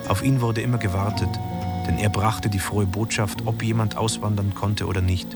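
A man gently reading a witness memory in a documentary radio drama. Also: on the low side, steady tone, normal speed, over loud music.

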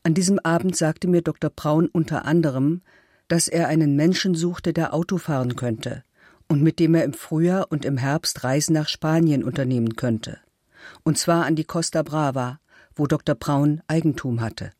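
A composed, firm middle-aged woman in a radio documentary. She speaks formally and articulately, with measured phrasing, close to the microphone in a dry studio. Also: sits low, warm tone, no echo. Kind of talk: documentary reading of statements and letters.

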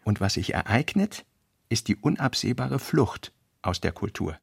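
Gravelly, husky male literary narrator. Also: lowish voice, animated, measured pace, no room sound, clean studio sound. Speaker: narrator reading a novelist's working notes. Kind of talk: literary radio play narration. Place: dry studio.

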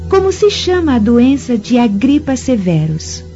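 Mocking young noblewoman, insinuating, unhurried, highish voice, radio drama, muffled. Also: big pitch swings, mellow. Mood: mocking, amused. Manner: knowing, insinuating. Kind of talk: formal radio drama acting. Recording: muffled recording with music underneath.

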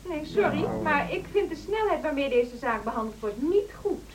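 A woman's voice: high-pitched, animated, moderate pace, far from the mic, a crowd in the background. An actress playing an outspoken, argumentative woman teacher pushing for reform in a radio drama, assertive and articulate. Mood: firm, defiant. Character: outspoken, insistent reformist female music teacher. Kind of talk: Dutch radio drama acting.

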